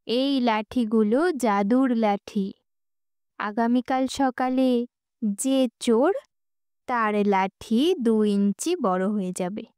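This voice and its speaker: Woman as a calm, clever, smooth-voiced court jester. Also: a little high, slow, no echo, studio quality. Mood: calm, assured.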